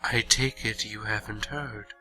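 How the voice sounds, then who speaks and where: A man's voice: on the low side, fairly even intonation, a little slow, pushed and bright, soft background music, rough recording. A man playing a proud, stern ancient vampire lord in a fantasy audio drama, speaking in a grave, controlled way. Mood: cold, guarded.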